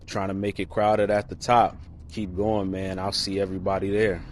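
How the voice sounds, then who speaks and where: A man's voice: deep voice, level tone, moderate pace, noisy background. A man giving a hyped, celebratory customer testimonial.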